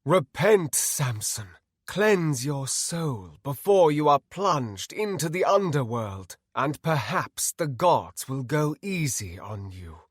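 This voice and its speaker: A stern holy paladin, acted by a male audiobook reader, cruel and pious. He makes slow, menacing pronouncements, stoic in manner, with dramatic rises in pitch, captured in a dry, close sound. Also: big pitch swings, husky, dry recording, heavily acted. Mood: righteous threat.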